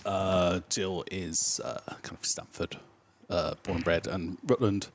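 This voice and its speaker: A man from the Nottingham area, a brewery owner chatting in an interview and filling pauses with ums and uhs. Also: low voice, fairly even intonation, a little hiss or hum. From Nottingham area, England. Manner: hesitant, many ums and uhs. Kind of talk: casual interview chat.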